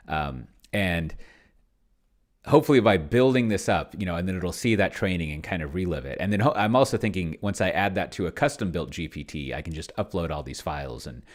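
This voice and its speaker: A man giving casual screen-share commentary as he plays, musing aloud in a rambling way and trailing off into mutters. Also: low voice. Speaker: tabletop game player and video creator.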